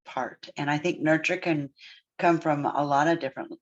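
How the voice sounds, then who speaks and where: A podcast co-host and therapist talking through family background in a reflective, measured way, with frequent pauses and fillers. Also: medium pitch, fairly even intonation. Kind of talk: casual podcast discussion. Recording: dull, lo-fi sound.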